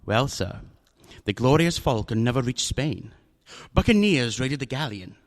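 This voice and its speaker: A man voices a fat, scheming villain who speaks with oily courtesy and florid words. His voice sits at a middling pitch, and every line drips with polite menace. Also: very animated, unhurried. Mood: smooth, storytelling. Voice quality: florid, unctuous, courtly manner. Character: fat, genteel, scheming older male villain. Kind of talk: radio drama acting.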